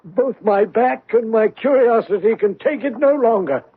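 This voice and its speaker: Good-humoured gentleman sidekick, high voice, formal fluttery manner, radio mystery, narrowband muffled sound. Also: lively intonation, moderate pace. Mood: fussy, eager. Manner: fluttery exclamations, chuckling, formal old-fashioned phrasing. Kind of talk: radio mystery drama acting.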